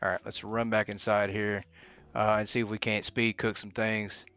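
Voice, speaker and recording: A man's voice: on the low side, level tone, moderate pace, fairly bright tone, soft background music. Man thinking aloud while streaming a game. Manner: thinks aloud, mutters to himself. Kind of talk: live gameplay commentary.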